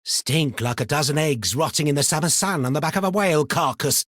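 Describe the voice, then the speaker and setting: An actor voicing an eccentric, paranoid, chatty miner in a video game, with a rambling, colloquial delivery. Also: male voice, fairly high pitch, a little fast, a bit bright, no echo, clean studio sound. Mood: chummy, animated. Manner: rambling, muttering, colloquial, theatrically swinging between chummy and suspicious. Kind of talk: video game character voice lines.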